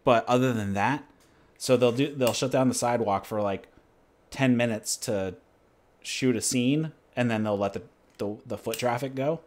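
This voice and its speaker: A man's voice: medium pitch, moderate pace, a little mellow. A man from New Hampshire, hosting his channel, chatting casually in a rambling, laid-back way. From New Hampshire, USA. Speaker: channel host. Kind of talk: casual livestream chat and Q&A.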